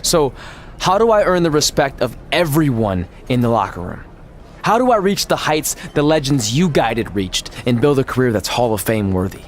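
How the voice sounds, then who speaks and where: A man acts out a young male pro wrestler in a game story. His voice is mid-pitched and conversational, with an earnest, determined drive. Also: big pitch swings, normal speed, slight background noise, close to an everyday voice. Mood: earnest, hopeful. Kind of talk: video game cutscene dialogue and narration.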